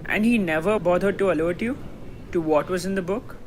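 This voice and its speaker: A young man acting in a radio drama as a forceful, skeptical lawyer cross-examining a witness. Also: high voice, a little fast, a little hiss or hum, lightly acted. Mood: incredulous, pressing. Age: teenager.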